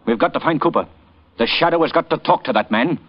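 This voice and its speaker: An actor playing a mysterious, commanding crime fighter with urgent, dramatic delivery in old radio drama style. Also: male voice, a little high, expressive, fast talker. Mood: grave, determined. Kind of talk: 1930s-40s radio drama acting. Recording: muffled vintage radio recording.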